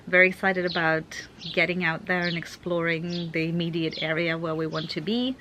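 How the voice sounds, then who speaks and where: A woman's voice: fairly low pitch, fairly even intonation, moderate pace, background noise. A young woman from Ukraine chatting casually to camera in a vlog, thinking aloud with fillers and restarts. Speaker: vlogger.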